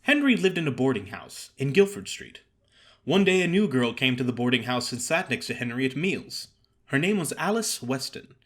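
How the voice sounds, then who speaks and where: A man reading a story aloud as theatrical audiobook narration, with a wry, expressive storytelling manner. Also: medium pitch, normal speed. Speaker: story reader.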